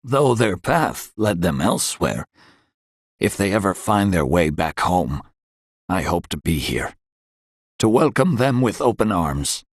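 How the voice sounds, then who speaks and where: An actor voicing a grave, wistful village elder in a video game, telling of the past in a solemn, measured way. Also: male voice, sits low, no room sound, clean studio sound, exaggerated voice. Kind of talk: video game dramatic dialogue.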